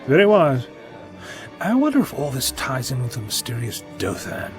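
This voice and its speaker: An actor playing a chatty, wry, inquisitive time-travelling adventurer in an audio drama, talkative and flippant. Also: male voice, medium pitch, huge pitch swings, normal speed, over music. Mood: curious, wry.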